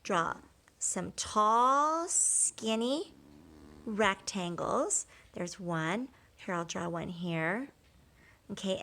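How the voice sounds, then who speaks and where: A woman's voice: medium pitch, a bit bright. Woman teacher guiding a children's drawing lesson. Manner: encouraging, conversational, checks in often with "okay?" and "right?".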